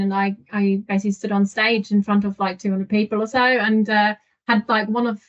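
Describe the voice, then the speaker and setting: A woman guest on a podcast interview, telling her stories in a lively way and often laughing. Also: medium pitch. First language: not French. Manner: animated storyteller, laughs often. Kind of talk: casual interview. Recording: dull lo-fi audio.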